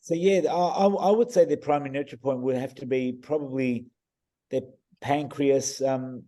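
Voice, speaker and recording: A man on a video call explaining eye readings in a casual, enthusiastic way, his voice a bit croaky and full of restarts. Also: mid-range voice, fairly even intonation, moderate pace, warm tone. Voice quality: hesitant, many restarts and fillers. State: croaky voice, clearing throat. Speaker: iridologist guest reading eyes.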